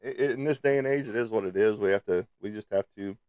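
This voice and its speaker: Man, lowish voice, slightly stuffy nose, casual livestream host, playful and teasing, dry close sound. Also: fast, darkish tone, clear, resonant tone, no room sound. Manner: playful, teasing, peppered with sung sound effects. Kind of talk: casual livestream chat with viewers.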